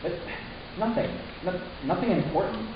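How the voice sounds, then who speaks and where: A man playing a troubled, resentful human youth in a dramatic first-person story reading, halting and intense with deliberate pauses. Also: high-pitched, close to an everyday voice. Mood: guarded, resentful. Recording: distant, very echoey room, muffled, hissy.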